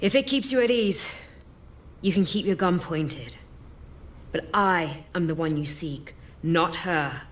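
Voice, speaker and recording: Composed rebel leader, unhurried woman. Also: fairly low pitch, expressive, a little hiss or hum. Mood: calm, assured. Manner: measured, poised. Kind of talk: cinematic video game acting.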